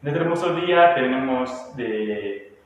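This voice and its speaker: A man's voice: mid-range voice, lively intonation, a little slow. Panamanian man hosting in an echoey hall. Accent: Panamanian Spanish. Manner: prayerful, repeats questions several ways. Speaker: host of a church online show. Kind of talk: church testimony interview. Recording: echoey hall, distant mic, faint noise.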